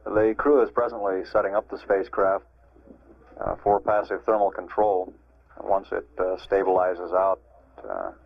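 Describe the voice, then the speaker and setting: A man with a low voice delivers official spaceflight status updates. His tone stays flat and matter-of-fact, with measured, hesitant phrasing. The sound is dry, slightly dull archival 1960s broadcast audio. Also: dry recording. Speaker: mission control public affairs announcer.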